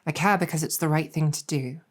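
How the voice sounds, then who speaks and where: A woman voicing an earnest, protective succubus demon general in an intimate audio roleplay, speaking deliberately with halting pauses. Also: deep voice. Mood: sincere. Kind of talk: intimate improvised roleplay storytelling, ASMR-adjacent.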